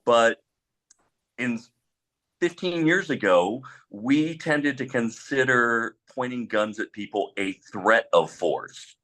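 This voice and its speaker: A middle-aged man speaking on a discussion panel as an experienced police trainer, in a measured way with pauses and firm opinions. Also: mid-range voice, expressive, fairly bright tone, low-quality recording. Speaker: panelist, former police officer.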